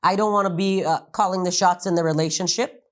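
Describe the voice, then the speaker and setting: A woman's voice: lowish voice, fairly even intonation, fast talker. A woman speaking as a guest on a podcast, talking frankly and expressively and laughing often. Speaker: guest, real estate company founder. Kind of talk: candid podcast interview.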